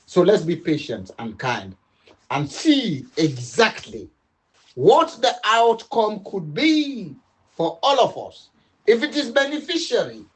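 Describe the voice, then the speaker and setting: A man's voice: extremely high pitch, fairly bright tone, poor audio. A middle-aged man giving an impassioned political appeal, emphatic and repetitive, switching between English and Dutch. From Sierra Leone. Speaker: political commentator.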